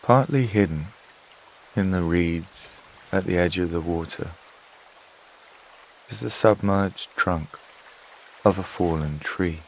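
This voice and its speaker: A man narrating a scripted sleep meditation in a calm, soothing, unhurried way, with long pauses between phrases. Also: low-pitched, slight background noise, low-quality recording. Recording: water and rain sounds behind voice. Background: flowing stream, rain-like ambience.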